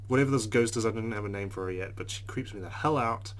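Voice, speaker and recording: A man's voice: lowish voice, normal speed, sharp tone. A man hosting a let's play, reading a visual novel's text aloud dramatically and adding his own commentary.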